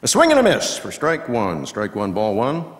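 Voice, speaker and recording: A man performing as a folksy baseball radio commentator, calling play-by-play in measured, punchy phrases with dramatic pauses. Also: fairly low pitch, wildly swooping pitch. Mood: keen, focused. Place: live reading in a large reverberant hall. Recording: echoey hall with long reverb.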